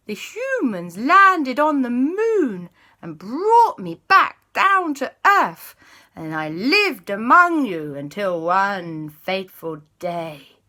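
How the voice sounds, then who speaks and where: A wailing ghost from the moon , done by a woman telling a story, rises high and stretches every word. The sound is bright and slow, with eerie, far-off wavering. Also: full character voice. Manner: high drawn-out spooky voice.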